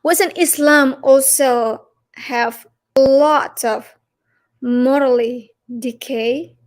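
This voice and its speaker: A woman with an Indonesian accent presents a religious lesson in a very high-pitched voice. Her delivery is slow and halting, with emphatic stresses. She leans on challenging rhetorical questions as she teaches. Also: rough recording.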